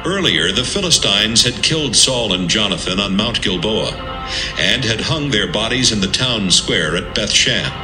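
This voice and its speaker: A man narrating an audio Bible from a script in a measured, serious manner. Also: on the low side, lively intonation. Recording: roomy sound with music underneath.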